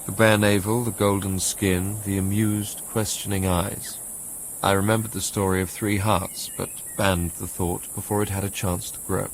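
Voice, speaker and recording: An actor playing an educated, introspective Englishman narrates in a measured, literary way, with an English accent, in a radio drama. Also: on the low side, flat delivery, slight background noise, close to an everyday voice, low-quality recording. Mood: reflective. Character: educated Englishman recalling his romantic obsession, wistful and introspective.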